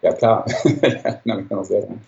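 A man's voice: on the low side, fairly even intonation, normal speed, gravelly, darkish tone, lo-fi sound. A man in his forties who grew up in Hamburg, telling his story as a podcast guest in a rambling way with many fillers and self-corrections. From Ghana, raised in Hamburg, Germany. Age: 40s. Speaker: guest, surgeon. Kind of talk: casual storytelling interview.

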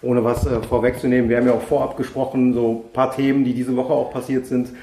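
This man hosts the podcast in a casual, hesitant way, leaning on fillers as he steers the talk. His voice sits at a middle pitch. The room adds a clear echo. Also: little pitch movement, quick pace, warm tone. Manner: hesitant, filler-heavy moderating. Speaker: podcast host. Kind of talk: casual chat. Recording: roomy echo.

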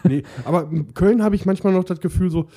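Laid-back man with a dark voice. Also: high-pitched, fast. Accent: Ruhr German. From Ruhr area, Germany. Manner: joking, laughs often, many fillers. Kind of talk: casual chat.

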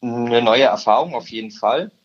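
Male, 19, from Upper Bavaria, halting podcast guest, slightly lo-fi recording. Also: medium pitch. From Upper Bavaria, Germany. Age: teens. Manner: hesitant, many "ähm" fillers. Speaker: guest, insurance trainee. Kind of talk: podcast interview.

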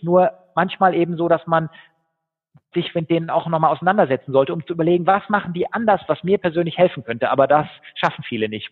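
Fast-talking man on a tinny line. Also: on the high side, slightly rough. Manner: fast, animated storytelling with fillers. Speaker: guest, keynote speaker. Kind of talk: conversational interview. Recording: tinny phone-like line with long reverb.

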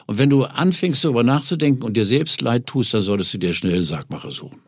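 A man in his seventies with a gravelly voice, talking bluntly and exuberantly about getting old in a casual chat. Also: mid-range voice, expressive, fast talker, no echo, studio quality. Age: 70s. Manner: exuberant, blunt, laughs at aging. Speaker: guest.

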